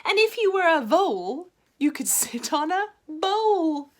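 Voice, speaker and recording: A squeaky, loud storybook frog voiced by a woman reading aloud, bossy and sure of its own rules. Each rhyme comes out sing-song, with the pitch bouncing high, and some words are drawn out slowly. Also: wildly swooping pitch, full character voice. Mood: gleeful.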